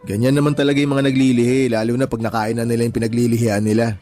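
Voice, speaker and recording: A man's voice: medium pitch, little pitch movement, fast, warm tone, soft background music, natural voice. An actor playing a married father, a sympathetic friend chatting matter-of-factly in a radio drama. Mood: reassuring, chatty. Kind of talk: Tagalog radio drama dialogue.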